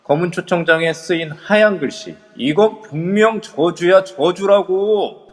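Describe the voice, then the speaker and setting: A man's voice: high voice, measured pace, in a large hall. A man voicing an anxious young man who asks earnest, jumpy questions. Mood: agitated. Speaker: voice actor in a live amateur audio drama.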